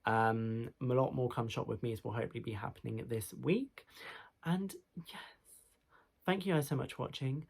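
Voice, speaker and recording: A man's voice: medium pitch, moderate pace, gravelly, darkish tone. A young man, chatting through a clothing try-on haul in a small bedroom; he has a cold that makes him sound a little nasal, and he rambles with fillers and restarts. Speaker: fashion vlogger. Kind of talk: casual try-on haul vlog. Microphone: camera mic, moving toward and away.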